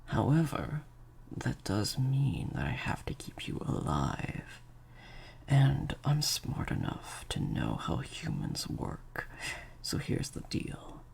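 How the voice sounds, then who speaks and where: A man playing a sadistic vampire master in an improvised audio roleplay, menacing and teasing, in breathy half-whispers to a captive listener. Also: mid-range voice, huge pitch swings, slow pace, a little mellow, a little hiss or hum, heavily acted. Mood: firm, condescending.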